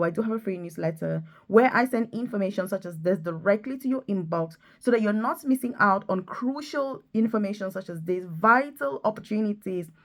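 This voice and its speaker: Emphatic woman vlogger urging viewers on. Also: mid-range voice. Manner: emphatic, urging, repeats points for stress. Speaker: video host, nurse and career coach. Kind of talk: enthusiastic direct-to-viewer promotional vlog.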